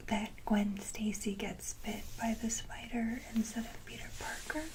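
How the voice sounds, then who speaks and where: Softly whispering woman, slow and halting. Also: a little high, flat delivery. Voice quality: breathy whisper. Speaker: ASMR video host. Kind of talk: soft-whispered ASMR, casual show-and-tell.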